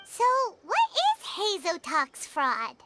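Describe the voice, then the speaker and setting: Squeaky, excitable little fairy girl. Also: takes it slowly, music in the background, full character voice. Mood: puzzled, curious. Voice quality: squeaky, childish. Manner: exclamatory, inquisitive, refers to self by name. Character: small, excitable, childlike female fairy companion. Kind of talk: animated video game voice acting.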